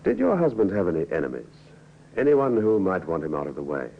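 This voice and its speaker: An actor playing a blunt, probing police inspector who questions tersely in an old radio crime drama. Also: male voice, low-pitched, huge pitch swings, a little fast, gravelly, slightly rough, full character voice. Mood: measured, probing. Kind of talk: 1950s radio drama acting. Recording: dull, noisy old radio recording.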